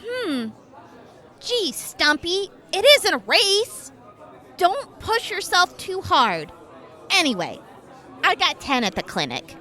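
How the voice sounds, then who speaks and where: A woman voicing a snarky young clinic helper with a deadpan, sarcastic way of teasing, in an audio drama. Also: very high-pitched, slow, long pauses, a faint crowd, full character voice.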